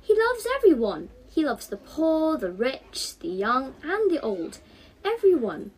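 A woman's voice: high-pitched, huge pitch swings, unhurried, a little mellow, voice pushed up, full character voice, low-quality recording. A teenage girl voicing a kind, devout young schoolgirl in a storybook, earnest and emphatic. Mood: reassuring, emphatic.

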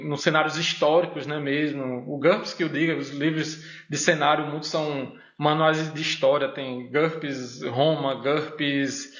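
A professor with a northeastern Brazilian accent, talking in a slightly higher voice. He chats casually and hesitantly, pausing on fillers and tag questions. The recording is echoey and dull, like a small room on a lo-fi mic. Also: level tone, a bit bright. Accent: Brazilian Portuguese, northeastern. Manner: hesitant, many fillers and repeated "né?". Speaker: podcast guest, university professor. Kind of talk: casual interview chat.

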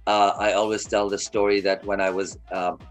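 A man's voice: lowish voice, flat delivery, normal speed, soft background music. A man in his fifties, from Kuwait, telling his life story as an interview guest, earnest and often restarting his sentences. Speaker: guest, media company founder.

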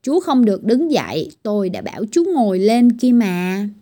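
A woman's voice: mid-range voice, big pitch swings, moderate pace, mellow. A woman voicing a strict, bossy tiny girl doctor in a children's storybook reading, giving firm scolding commands. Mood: stern.

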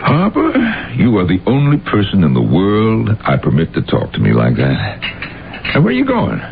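An actor playing a stubborn, ill-tempered, imperious old industrialist in an old-time radio drama. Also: male voice, lowish voice, wildly swooping pitch, normal speed, vocal fry, a little hiss or hum, exaggerated voice. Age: older. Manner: imperious, curt, crusty. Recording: muffled phone-like old radio, loud music.

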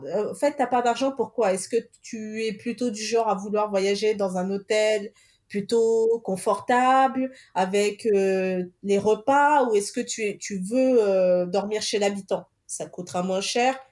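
A woman co-host in casual conversation, calmly explaining travel habits with examples. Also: mid-range voice, measured pace. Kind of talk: casual podcast chat.

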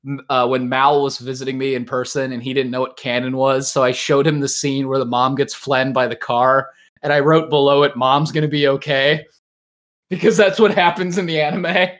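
A man's voice: medium pitch, steady tone, moderate pace, fairly bright tone. A man hosting a casual podcast chat, teasing and swearing freely, with lots of slang. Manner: teasing, profane, heavy use of slang like "dude". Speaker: podcast host.

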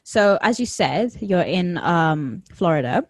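A woman with a London accent hosting a podcast interview, speaking in a measured, thoughtful way with some hesitant fillers. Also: fairly low pitch, expressive, no echo, clean studio sound. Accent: London English. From England. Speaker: podcast host.

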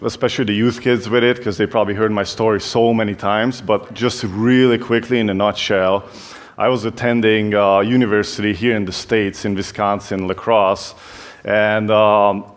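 A man's voice: lowish voice, level tone, normal speed. A young man from Hungary with a Hungarian accent, giving a chatty, self-deprecating church talk full of fillers and restarts. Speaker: youth pastor. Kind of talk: church talk, personal story.

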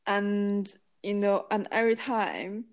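A woman's voice: mid-range voice, fairly even intonation, takes it slowly. A woman co-hosting a podcast, talking casually with many fillers like "you know" and "like". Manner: chatty, heavy on "like" and "you know". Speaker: podcast co-host. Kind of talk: casual chat.

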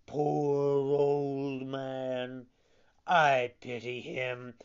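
Narrator performing big bearded storybook showman, drawn-out emphatic delivery, commanding, muffled recording. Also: medium pitch, level tone, clean tone. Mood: pitying. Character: gruff, stern showman with long beard, softening to pity.

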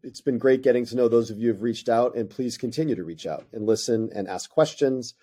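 A man's voice: medium pitch, a little fast, warm tone, slightly rough. A man in his thirties with a Northern US accent, an English teacher and podcast co-host talking earnestly and restarting his phrases. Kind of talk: reflective monologue.

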